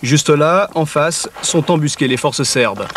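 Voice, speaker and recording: A man's voice: fairly high pitch, unhurried, fairly bright tone, distant mic. A man narrating a news documentary as a reporter, describing the scene in an informative way.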